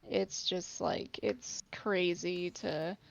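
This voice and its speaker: Hoarse woman, gravelly and halting. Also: fairly low pitch, fairly even intonation, dull audio, a little hiss or hum. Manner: halting, lots of likes. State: hoarse, sore throat. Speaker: podcast co-host. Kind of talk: casual chat.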